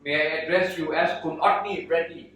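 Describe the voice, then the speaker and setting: High-voiced man hosting in an echoey room. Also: normal speed. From Thailand. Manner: polite, explanatory, repeats phrases. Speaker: event host. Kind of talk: informal introduction to an audience. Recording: roomy, distant microphone, faint noise.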